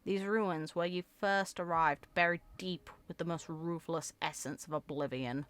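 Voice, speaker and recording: A woman reading video game story text aloud in an expressive, theatrical way, as a content creator. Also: lowish voice, moderate pace. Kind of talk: reading game lore aloud with reaction asides.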